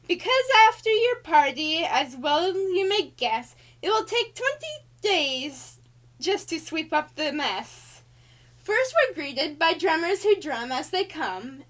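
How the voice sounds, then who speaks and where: A woman's voice: extremely high pitch, fairly bright tone, heavily acted. A woman voicing a female cartoon angel who reads a rhyming storybook to children in a showy, sing-song way with exaggerated emphasis. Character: Alice Angel, female cartoon angel reading a bouncy birthday storybook.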